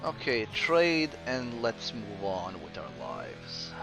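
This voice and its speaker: A man from Croatia with a mixed Croatian accent, chatting casually while streaming a game and answering viewers, with frequent pauses. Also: medium pitch, big pitch swings, gravelly, over music. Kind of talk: casual gameplay commentary.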